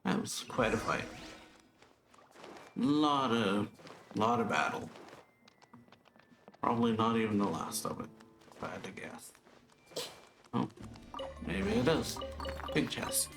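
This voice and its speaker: A man casually commentating over his own gameplay in a relaxed, laid-back way. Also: medium pitch, slow pace, vocal fry, long pauses. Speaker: gaming channel host. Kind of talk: let's play commentary. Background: game music.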